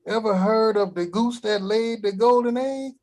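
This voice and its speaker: A man's voice: sits high, normal speed, darkish tone, close to an everyday voice. A man doing a crude cranky old grandpa. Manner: gruff, vulgar, wisecracking. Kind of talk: table read.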